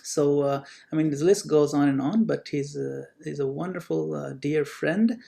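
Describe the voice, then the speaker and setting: A man hosting at a temple, giving a halting formal introduction of a guest speaker with many fillers. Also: on the high side, creaky, warm tone.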